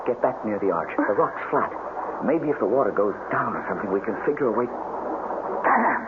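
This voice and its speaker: Heavy noise and a narrow radio band surround a calm, practical man trapped in a cave. His low voice is measured and halting, with plenty of movement in the pitch. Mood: tense, practical. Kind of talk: radio drama acting. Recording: very noisy, band-limited radio drama tape.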